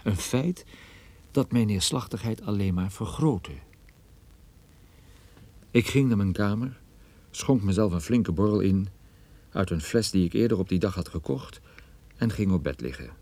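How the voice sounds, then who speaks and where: An actor playing a wry, gloomy male private detective, narrating a radio play in a dry, ironic, measured way. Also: fairly low pitch, lively intonation, warm tone. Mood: gloomy, reflective.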